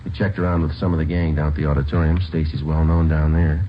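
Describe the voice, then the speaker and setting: A man's voice: a bass voice, level tone, fast talker, slight background noise. A man playing a stoic police sergeant in a radio drama, narrating and talking in a clipped, matter-of-fact way. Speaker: narrator and lead police detective. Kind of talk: 1950s radio drama acting. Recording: dull old radio recording, music behind.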